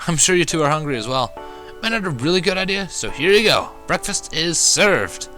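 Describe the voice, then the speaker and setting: A man's voice: fairly high pitch, sing-song, a little fast, bright, forceful tone, faint music behind, voice pushed up. A man voicing an eager young male baby dragon in a fan fiction reading, boyish, cheerful and proud.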